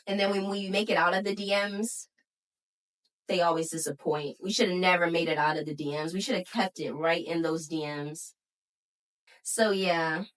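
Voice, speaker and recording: A woman's voice: on the low side, fairly bright tone, recorded from a distance, low-quality recording. A woman in her twenties co-hosting a podcast, talking frankly and bluntly in casual chat with slang and restarts. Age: 20s. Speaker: podcast co-host.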